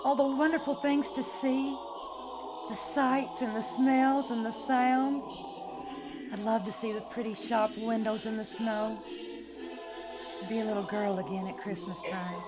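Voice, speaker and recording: A woman's voice: a little high, steady tone, a little slow, close to an everyday voice, low-quality recording. A woman playing a kindly but anxious caretaker, soothing and putting on cheer, in old-time radio drama style. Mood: wistful. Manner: gentle, soothing, forced cheer over distress. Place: live on a small-town theater stage. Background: music.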